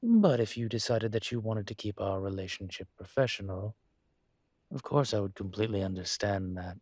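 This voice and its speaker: A man playing a suave, courteous dragon mafia boss in an intimate roleplay audio, with a husky, breathy voice and a gentle, teasing formality. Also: fairly low pitch, expressive, moderate pace, no room sound, studio quality. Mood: tender, flirtatious.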